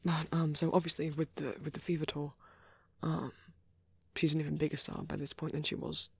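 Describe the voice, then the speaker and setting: A woman voicing a shy young male vampire boyfriend in an ASMR roleplay, rambling softly and haltingly in a breathy near-whisper, with stammers and restarts. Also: sits low, flat delivery, no echo, exaggerated voice. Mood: earnest, enthusiastic. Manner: hesitant, flustered, halting, with many stammers and trailing restarts.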